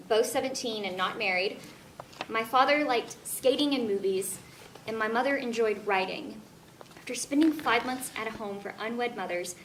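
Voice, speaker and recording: A woman's voice: fairly high pitch, pushed and bright. A young woman acting in a one-act stage play as an earnest, stubborn adopted woman searching for answers. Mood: subdued, explaining. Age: young adult. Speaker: stage actor. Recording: distant echoey room recording, clear background noise.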